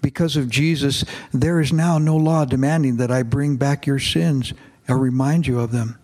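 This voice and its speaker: A man reading aloud in the voice of God as a fatherly figure, calm, gentle and patient. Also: mid-range voice, moderate pace, natural voice.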